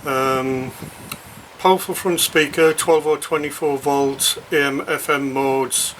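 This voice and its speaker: A man giving an unscripted, matter-of-fact unboxing commentary, halting as he thinks aloud. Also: fairly high pitch, fairly even intonation, a bit bright, poor audio. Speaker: radio hobbyist presenting an unboxing. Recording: noticeable background noise, crinkling packaging handling.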